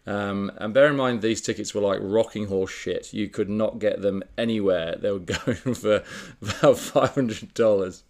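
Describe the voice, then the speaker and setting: A man co-hosting a casual podcast, telling a story in a relaxed, chatty way with frequent fillers. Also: lowish voice, normal speed, hardly any pauses. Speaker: podcast co-host. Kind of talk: casual chat.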